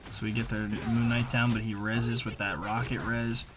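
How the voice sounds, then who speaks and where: Casual male gamer, clear background noise. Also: medium pitch, level tone, moderate pace. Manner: slangy, conversational. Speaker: gaming video creator. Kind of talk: casual gameplay voice-over commentary.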